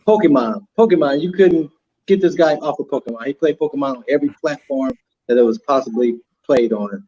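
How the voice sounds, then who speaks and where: A middle-aged man on a live-stream panel, talking in a halting, hesitant way. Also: mid-range voice, warm tone, husky. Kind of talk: casual live-stream chat. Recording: dull lo-fi stream mic.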